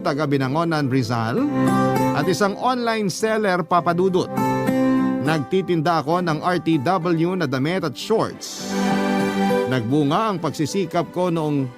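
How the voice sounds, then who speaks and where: A Filipino man narrates like a radio storyteller, his voice slightly high for a male. The delivery is measured and dramatic, with loud music underneath. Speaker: radio storyteller reading a letter. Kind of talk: dramatic scripted first-person narration.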